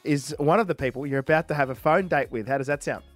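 A man's voice: on the high side, fast, faint music behind. An Australian man presenting casually as a radio host.

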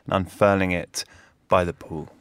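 A man's voice: deep voice, little pitch movement, normal speed, clear, resonant tone, dry recording. A man, a magazine associate editor, chatting in an easygoing way on a talk show. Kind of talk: casual studio chat.

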